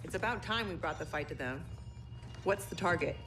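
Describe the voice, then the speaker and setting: A woman voicing a crisp, commanding army general. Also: on the low side, lively intonation, fairly quick, over music, natural voice. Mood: dry. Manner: crisp, commanding military address. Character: tough female military general, brisk and authoritative. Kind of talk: video game cutscene acting. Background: orchestral game score.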